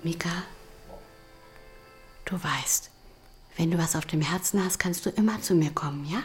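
A woman playing a caring, cheerful hotel housekeeper who gently encourages a child in a radio play. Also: sits low, moderate pace, roomy sound, faint music behind, natural voice. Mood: gently concerned.

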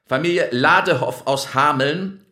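An actor playing a brisk, self-important refugee official who declaims announcements and name lists in a radio play. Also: male voice, a little high, pushed and bright, roomy sound. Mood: officious.

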